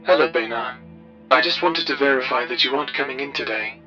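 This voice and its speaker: A man's voice: mid-range voice, a little fast. A man playing a polite, caring, overworked colleague on a filtered radio call in a sci-fi audio drama. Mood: polite, concerned. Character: caring, overworked colleague calling an ex pirate friend. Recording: dull filtered radio call, music behind.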